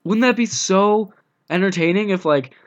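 A man's voice: high voice, moderate pace. Young man, scratchy, dull phone line. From United States. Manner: dry, deadpan joking. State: scratchy voice from a cold. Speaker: podcast co-host. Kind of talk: casual chat.

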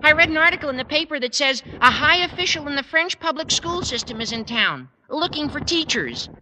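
A high-voiced, gushing teenage schoolboy. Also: big pitch swings, brisk, bright, forceful tone, full character voice. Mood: eager, excited. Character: eager, flattering teenage schoolboy devoted to his teacher. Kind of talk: 1940s-50s radio comedy acting. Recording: noisy old-time radio recording.

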